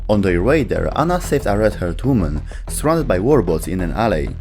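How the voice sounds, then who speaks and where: A man's voice: fairly low pitch, sing-song, moderate pace, background noise. A man reading scripted narration as the narrator of a video game lore explainer.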